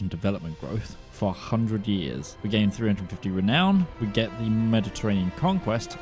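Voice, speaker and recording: A man casually narrating his own strategy game run, thinking aloud and swinging between flat musing and sudden excitement. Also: fairly low pitch. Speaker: gaming YouTuber.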